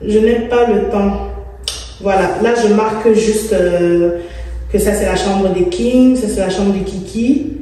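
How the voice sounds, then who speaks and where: A woman's voice: medium pitch, unhurried, warm tone. A woman from Cameroon, a native English speaker with a Cameroonian accent, vlogging informally and thinking aloud as she works in an empty room, sometimes slipping in English words. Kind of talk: casual vlog chat while working. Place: empty freshly plastered room indoors. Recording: very echoey empty room, faint noise, distant mic.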